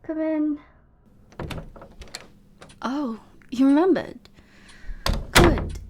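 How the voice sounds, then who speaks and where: A female vampire professor, acted by a woman, speaks in a fairly high voice at a very unhurried pace. She is sweetly sinister and possessive, teasing and coaxing in intimate murmurs as in an audio roleplay. There is noticeable noise behind her. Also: darkish tone. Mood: playfully chiding. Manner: coaxing, murmuring, often dropping to a breathy whisper. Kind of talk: intimate scripted audio roleplay, addressed to the listener.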